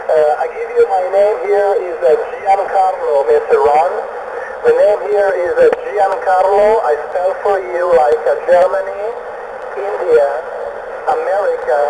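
A man with an Italian accent chatting as a radio operator, halting and courteous, repeating phrases for clarity over a shortwave link. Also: high voice. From Italy. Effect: heard over shortwave radio. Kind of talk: radio contact chat. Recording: very noisy long-distance radio signal with static.

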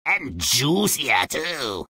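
An actor voicing a snide fairy-tale circus performer in a video game, with a gravelly voice. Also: medium pitch, very animated, drawn-out words, pushed and bright, heavily acted. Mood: mocking.

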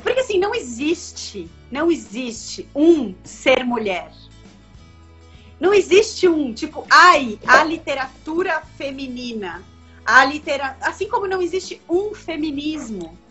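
A woman's voice: high-pitched, sing-song, measured pace, bright, forceful tone, faint music behind. A woman in her thirties from Brazil, a comics editor talking in a casual, emphatic video-call interview from a room at home, with many fillers and restarts. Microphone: laptop webcam on video call. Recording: dull lo-fi video call audio.

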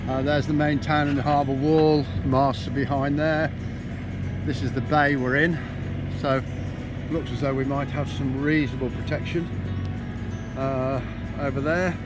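A man casually narrating his boat's arrival at a marina, relaxed and full of ums and uhs. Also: mid-range voice, a little slow, music in the background. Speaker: sailing vlogger. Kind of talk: casual narration over footage.

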